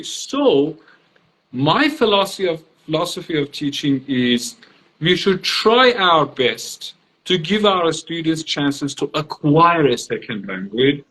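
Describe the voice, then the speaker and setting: A man whose first language is Azeri, explaining his teaching ideas as an interview guest in a reflective way with many pauses. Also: on the high side, expressive, fairly bright tone, rough recording. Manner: reflective, explanatory, frequent hesitations. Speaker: guest, academic trainer. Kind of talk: online interview conversation. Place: Turkey.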